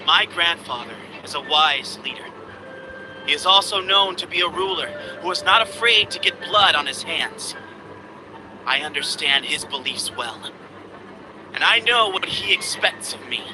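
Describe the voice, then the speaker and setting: A young man voicing an earnest desert temple heir and duelist in a video game, speaking solemnly and deliberately. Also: on the high side, expressive, noisy background. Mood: reflective, sincere. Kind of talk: dramatic English game voice acting.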